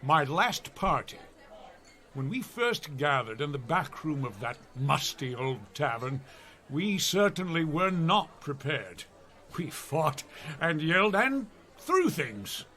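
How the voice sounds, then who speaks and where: An actor playing a stubborn, earnest dwarf warrior in a comedy radio play, speaking in a declamatory storyteller's way. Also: male voice, a little high, big pitch swings, slow, bright, forceful tone, exaggerated voice. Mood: solemn, passionate. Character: gruff, battle-hungry male dwarf warrior, stubborn and earnest.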